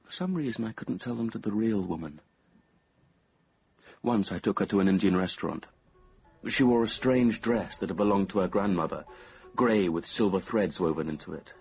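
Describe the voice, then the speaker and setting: A man's voice: on the low side, lively intonation, moderate pace, vocal fry, darkish tone, slightly rough, soft background music, exaggerated voice. An actor playing an infatuated, uneasy young British man in a radio drama, speaking hesitantly and introspectively. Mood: brooding, reflective. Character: earnest, infatuated, uneasy young man telling his story. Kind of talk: radio drama acting with confessional first-person narration.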